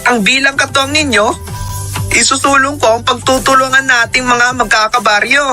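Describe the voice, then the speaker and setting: A woman's voice: sits high, steady tone, moderate pace, sharp tone. A woman playing an earnest, resolute village leader in a formally acted radio drama. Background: music.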